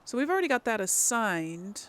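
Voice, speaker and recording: A woman's voice: on the high side, sing-song, normal speed, slight background noise. A woman giving casual let's play commentary, hesitantly thinking aloud as she plays. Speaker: gaming video host.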